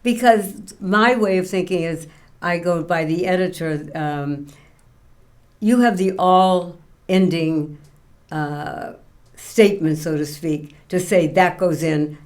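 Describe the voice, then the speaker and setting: A woman's voice: on the low side, slow pace, darkish tone. A woman in her late eighties interviewing guests in a conversational style as a show host.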